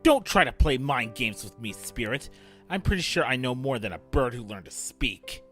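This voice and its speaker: A man plays a cold, proud, wealthy father in an audio drama. His mid-pitched voice moves widely with pompous disdain, over faint music. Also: expressive, moderate pace, fairly bright tone, lightly acted. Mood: indignant, disdainful. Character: haughty, cold, irritable rich father. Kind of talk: fan audio drama acting.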